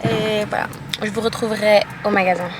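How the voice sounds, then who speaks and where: A woman's voice: mid-range voice, steady tone, fairly quick. A woman in her twenties from France, chatting casually to camera in a vlog. She rambles and fills her talk with hesitations and repeated words. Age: 20s. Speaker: vlogger.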